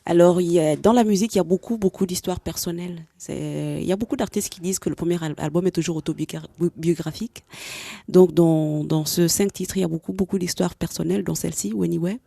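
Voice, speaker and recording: A young woman from Gabon, a singer giving a calm, measured radio interview. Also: fairly low pitch, creaky, dry recording, studio quality. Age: young adult. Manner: calm, measured, occasional fillers. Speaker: guest singer. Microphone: studio microphone.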